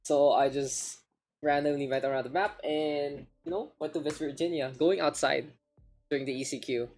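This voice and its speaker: A man's voice: on the high side, steady tone, normal speed, a little mellow, faint music behind. A man with a Filipino accent casting an esports match on a live stream, chatty and rambling, often repeating himself. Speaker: esports caster.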